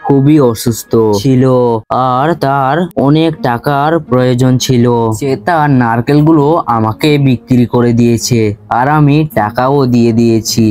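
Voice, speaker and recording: A man's voice: mid-range voice, steady tone, normal speed, darkish tone, soft background music, close to an everyday voice. Man acting a kind, earnest village brother. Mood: worried, resolute. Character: kind, trusting younger brother, humble Bengali villager. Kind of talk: animated folk tale acting.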